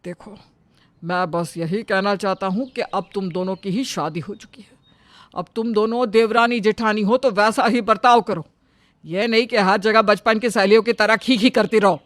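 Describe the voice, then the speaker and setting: A woman's voice: medium pitch, fairly even intonation, moderate pace, dry recording, close to an everyday voice. A woman voicing an indignant, protective uncle in a cartoon story, stern and scolding. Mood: angry, scolding.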